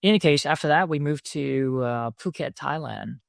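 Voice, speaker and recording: A man in his fifties, a podcast guest, recalling his past in a reflective, unhurried way with many fillers. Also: a little high, vocal fry, no echo, clean studio sound. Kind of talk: conversational interview answers.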